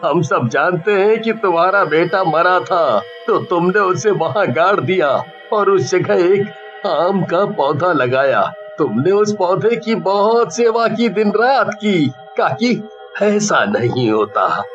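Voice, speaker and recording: A man's voice: high-pitched, lively intonation, slow, fairly bright tone, heavily acted. An actor playing a concerned, earnest village man in a cartoon horror story. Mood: earnest pleading. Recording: dull lo-fi track with faint music.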